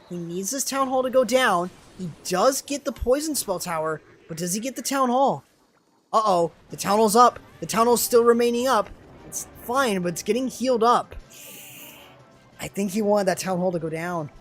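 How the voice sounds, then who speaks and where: A young man commenting live on a video game match, excitable and quick to burst into exclamations, his voice strained and starting to go hoarse. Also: sits high. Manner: excitable, rising to sudden exclamations. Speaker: esports commentator and streamer.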